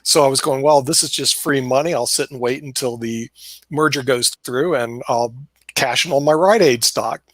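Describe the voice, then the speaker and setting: A man hosting a loose chat over a video call, rambling along with plenty of ums and false starts and laughing at himself. His voice sits at an ordinary middle pitch, and the sound is close and dry with no room in it. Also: normal speed, a bit bright, no echo. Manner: rambling, laughing, many fillers and restarts. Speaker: host.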